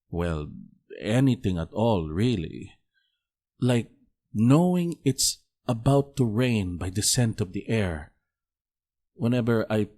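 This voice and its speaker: An actor playing a polite, earnest visiting priest in an audio drama, gentle, hesitant and formal. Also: male voice, lowish voice.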